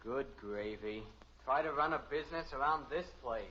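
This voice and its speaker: A man's voice: medium pitch, moderate pace, sharp tone, lightly acted. A male actor playing an eager, self-important teenage son in 1950s radio comedy style, earnest and politely salesmanlike. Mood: puzzled, put out. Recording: muffled old radio recording, faint hiss.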